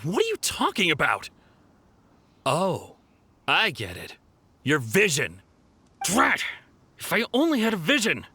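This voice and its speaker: A man's voice: sits high, wildly swooping pitch, a little slow, pauses often, pushed and bright, husky, full character voice. An actor playing a boastful male thief who has been caught, indignant and flustered. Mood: grumbling. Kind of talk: video game voice acting.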